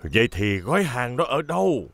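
A man's voice: medium pitch, big pitch swings, normal speed, vocal fry. A man playing a stern older construction worker in a dubbed children's cartoon, with a grumbling, questioning manner. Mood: concerned, impatient.

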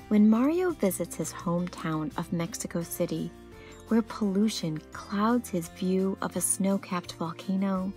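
A woman storyteller reading a children's picture book aloud expressively, with deliberate phrasing and dramatic pauses. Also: mid-range voice, warm tone. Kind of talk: expressive read-aloud narration.

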